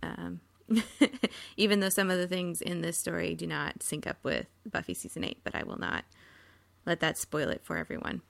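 A woman podcast host speaks casually and informally, with plenty of vocal fry. The recording is close and dry, and she chats her way through an introduction. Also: on the low side, lively intonation, normal speed, no echo. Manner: chatty, informal.

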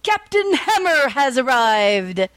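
A woman voicing a vain, cocky male superhero from a story, with drawn-out, self-important delivery. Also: sits high, big pitch swings, a bit bright, no room sound, pitched above the performer's own voice, heavily acted. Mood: boastful.

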